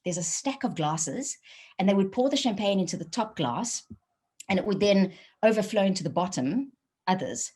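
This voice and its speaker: A woman's voice: mid-range voice, normal speed, husky. A woman with a South African accent, giving an online wellbeing talk and telling personal stories with light laughs. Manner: animated storytelling with light laughs. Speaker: wellbeing speaker. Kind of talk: webinar talk. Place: home office.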